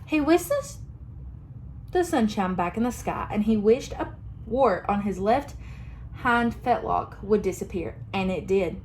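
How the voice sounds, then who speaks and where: A young woman reading a picture book aloud to children, expressive and pausing to ask them questions. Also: mid-range voice, a little hiss or hum, rough recording. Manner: expressive, asks listeners questions. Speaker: children's story reader.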